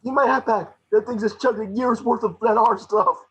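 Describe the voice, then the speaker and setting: A man voicing a small wisecracking Pikachu detective, excitable, sarcastic and fretful, in an amateur table reading. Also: high voice, moderate pace, husky, lo-fi sound. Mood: sarcastic, irritated.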